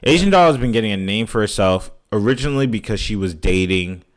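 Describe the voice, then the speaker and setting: A man's voice: lowish voice, lively intonation, fast. A man co-hosting a casual podcast, recounting a story in a rambling way and acting out other people's quotes. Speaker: podcast co-host. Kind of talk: casual chat.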